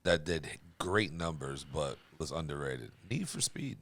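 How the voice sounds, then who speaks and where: Gravelly, animated man on a podcast. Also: very deep voice, a little slow. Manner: slangy, profane, informal. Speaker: podcast co-host. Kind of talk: casual chat.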